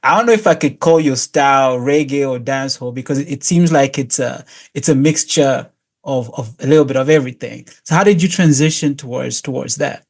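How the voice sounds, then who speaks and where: A man's voice: mid-range voice, fairly quick. A man hosting a casual interview, reacting enthusiastically and repeating words as he asks questions. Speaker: interview host.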